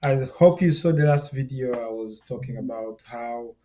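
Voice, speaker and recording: A man's voice: medium pitch, normal speed, mellow. A middle-aged man from Uganda, talking earnestly and directly to viewers as a course instructor, often repeating himself. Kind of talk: direct-to-camera course talk.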